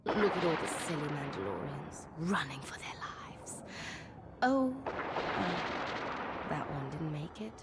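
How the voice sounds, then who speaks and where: A woman's voice: sits low, expressive, a little slow, heavy background noise. A woman playing an unhinged young woman of the imperial family who talks in a sing-song, cruelly playful way. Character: unhinged, gleeful young empress sister. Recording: distant voice over game music.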